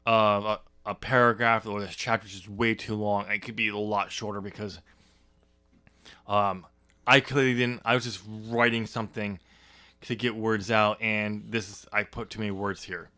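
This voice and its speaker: Male podcast host, bright sharp voice, rambling unscripted chat with stumbling restarts. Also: on the low side, flat delivery, clean tone, no room sound. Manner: halting, rambling, self-correcting with stammered restarts. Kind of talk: casual unscripted discussion.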